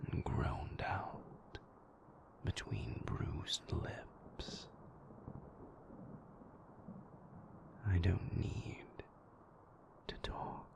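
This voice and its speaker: A very low, gravelly male vampire, acted by a man, murmuring close in half-whispers for an intimate audio roleplay. He is brooding and dour yet tender, and unfolds his formal phrases very slowly. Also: exaggerated voice.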